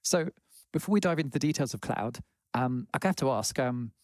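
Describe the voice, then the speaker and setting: Chatty English podcast host. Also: male voice, mid-range voice, expressive, brisk, darkish tone, no room sound. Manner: chatty, friendly, hesitant. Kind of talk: casual interview.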